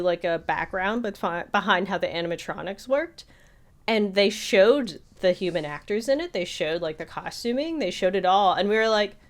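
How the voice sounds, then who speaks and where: A woman co-hosting a podcast, chatting casually about film in a rambling way with lots of "like". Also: medium pitch. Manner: rambling, peppers talk with "like". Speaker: podcast co-host. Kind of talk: casual film discussion chat.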